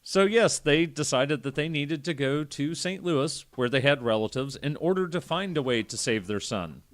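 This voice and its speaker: A man's voice: fairly high pitch, lively intonation, moderate pace. A man hosting a podcast, reading a story aloud and breaking off for casual, irreverent, sweary asides. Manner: irreverent, profane. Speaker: podcast host.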